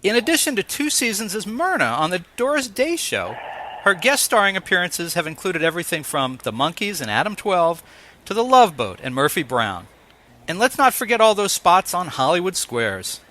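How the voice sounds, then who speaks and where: A man's voice: high-pitched, very animated, moderate pace, pushed and bright. A man hosting an interview show, moving between scripted announcements and conversational questions. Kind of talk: scripted introduction and ads, then interview chat.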